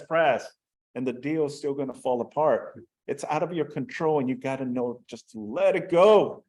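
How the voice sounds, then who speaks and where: A man who works as a real estate broker, giving an energetic short talk to an audience and often turning to them with "right?" and "folks.". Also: a little high. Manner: animated, addresses the crowd with "folks" and "right?".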